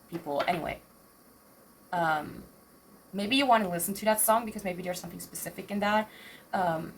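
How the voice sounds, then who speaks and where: A young woman in her twenties talks through a tarot reading in a low, gravelly, slightly raspy voice. She hesitates and fills gaps with ums, breaking off mid-sentence. A faint noise sits under the recording. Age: 20s. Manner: hesitant, rambling, self-interrupting with many fillers. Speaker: tarot reader.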